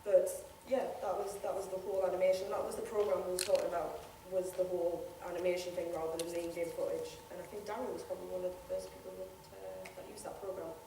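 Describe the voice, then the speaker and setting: A woman's voice: lowish voice, little pitch movement, quick pace, gravelly, few pauses, background noise. A young woman from Newcastle chatting casually on a convention panel about amateur voice acting, often laughing and acting out what others said. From Newcastle, England. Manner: chatty, laughs often, acts out quoted remarks. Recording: echoey hall, voice from far off.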